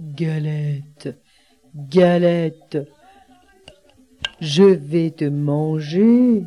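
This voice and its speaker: A woman voicing a big, hungry, menacing male bear in a storybook, gruff and growling, drawing out the threats. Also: low voice, mellow, voice pushed down. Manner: slow, drawn-out threats.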